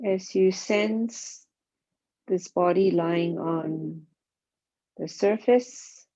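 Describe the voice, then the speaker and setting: A woman guides a body scan meditation, speaking calmly and gently in short, spaced phrases. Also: fairly low pitch, drawn-out words. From Singapore. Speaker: mindfulness meditation teacher. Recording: dull, muffled lo-fi sound.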